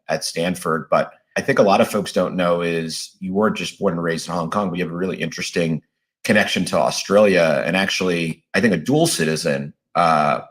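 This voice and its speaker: A man with a very deep voice hosts a casual interview. He asks long, friendly questions loaded with fillers. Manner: friendly, chatty, filler-heavy.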